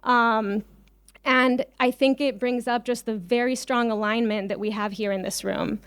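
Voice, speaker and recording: Woman, conference speaker, higher-pitched, clean resonant tone, dry sound, informal storytelling, conversational asides. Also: fairly even intonation, measured pace, clear, resonant tone, no echo. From Israel, early childhood on a kibbutz. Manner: conversational, frequent ums and asides. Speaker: farmer cooperative leader, invited conference speaker. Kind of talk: informal conference presentation with personal stories.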